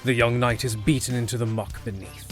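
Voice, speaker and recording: A man's voice: medium pitch, steady tone, a little fast. A man narrating a scripted fantasy audio drama, with music underneath.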